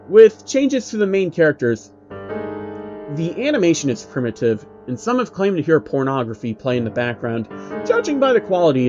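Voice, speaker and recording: A man narrating a scripted horror story in a flat, measured reading voice. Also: mid-range voice, lo-fi sound. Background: faint uneasy piano music.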